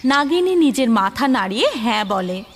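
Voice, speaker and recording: Animated, high-voiced woman storyteller. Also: moderate pace, slight background noise. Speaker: storyteller, narrator. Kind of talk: scripted children's fairy-tale narration. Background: music.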